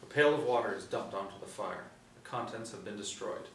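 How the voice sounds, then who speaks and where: A man's voice: on the low side, brisk. A middle-aged man reading screenplay stage directions aloud as narrator at a table reading. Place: small indoor room. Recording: roomy, distant mic, light room noise.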